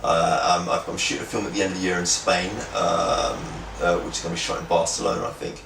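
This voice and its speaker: A man, an actor being interviewed at home, telling stories in a lively way and often asking "right?". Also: low-pitched, fast talker, gravelly, reverberant, recorded from a distance, background noise. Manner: animated storyteller, repeats phrases, says "right?" often. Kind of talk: casual interview chat. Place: his home, indoors.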